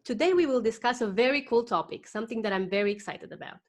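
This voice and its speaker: A woman's voice: on the high side, animated, fast talker. A woman hosting a podcast interview, introducing her guest enthusiastically and talking with frequent fillers. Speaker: podcast host.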